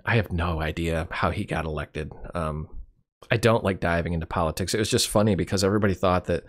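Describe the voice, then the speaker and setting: Hoarse low-voiced man, rambling vlog. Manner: candid, rambling, wry. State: hoarse, losing his voice. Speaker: YouTube channel host. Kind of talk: casual year-in-review monologue.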